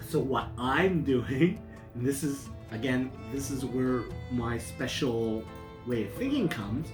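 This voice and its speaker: A man in his fifties, a guest musician, talking in a reflective, halting way with many restarts. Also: medium pitch. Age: 50s. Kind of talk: casual conversation. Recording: roomy sound with guitar music behind.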